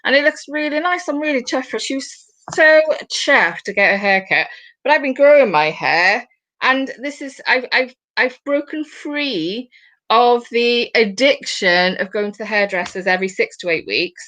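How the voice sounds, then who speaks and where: Bright-voiced chatty British woman host. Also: fairly high pitch, lively intonation, rough recording. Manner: chatty, rambling, with quick asides. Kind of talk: casual chat. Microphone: video call.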